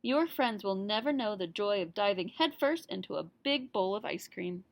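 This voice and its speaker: A woman voicing a caring mother spoon in a children's storybook, gentle, soothing and encouraging. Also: medium pitch, lively intonation, normal speed, vocal fry, dry recording. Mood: reassuring.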